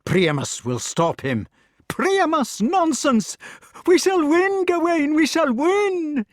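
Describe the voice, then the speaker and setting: A man voicing an excitable, fretful old king who cries out in a high, breathless voice. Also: slow, dry recording, clean studio sound, heavily acted. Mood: excited. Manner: high-pitched, breathless, exclaiming.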